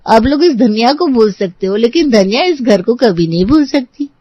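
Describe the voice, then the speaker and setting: A woman's voice: on the high side, expressive, moderate pace, warm tone, dry recording, muffled recording. A woman playing a shrewd, stubborn older housemaid in an audio story, with a colloquial servant's way of talking. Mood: coaxing. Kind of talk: scripted audio story dialogue.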